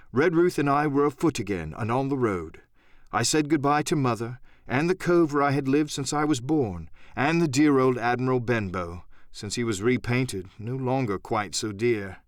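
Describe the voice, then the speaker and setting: A man narrating a first-person adventure story as a dramatic audiobook, expressive and theatrical. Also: mid-range voice, no echo, clean studio sound.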